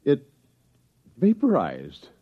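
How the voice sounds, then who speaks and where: An actor playing an uneasy, civil professor in an old radio drama, speaking earnestly with courteous hesitation. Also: male voice, mid-range voice, wildly swooping pitch, extremely slow, very noisy, heavily acted. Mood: resigned, uneasy. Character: uneasy, civil professor and husband, protesting politely. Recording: dull, lo-fi old radio recording.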